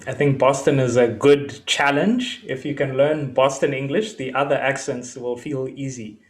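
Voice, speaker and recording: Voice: medium pitch, fairly even intonation, normal speed. A podcast host in a casual interview, jokey and encouraging, with frequent short affirming hums.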